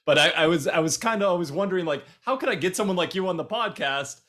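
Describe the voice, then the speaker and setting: A man's voice: sits high, expressive, fast, a bit bright. A man hosting a podcast, chatting enthusiastically and often restarting his sentences. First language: English. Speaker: podcast host. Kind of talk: casual interview chat. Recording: roomy echo with faint music.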